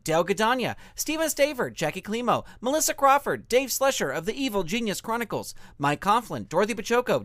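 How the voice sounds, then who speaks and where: A man's voice: high-pitched, a bit bright, dry recording. A man reading scripted show credits as an announcer, in an upbeat style.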